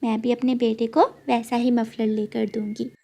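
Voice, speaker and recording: A woman's voice: on the high side, little pitch movement, moderate pace, vocal fry, mellow. A woman voicing a kindly, sensible mother sparrow in a children's cartoon, sweet and earnest. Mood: affectionate. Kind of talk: Hindi children's cartoon story.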